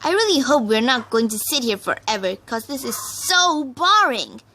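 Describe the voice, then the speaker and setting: A brash young pegasus mare voiced by a woman reading a story, hungry for adventure and quick to get impatient. Her voice sits high and swings widely, with a cocky, dramatic edge. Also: sing-song, sharp tone, slight background noise, full character voice. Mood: bored, whining.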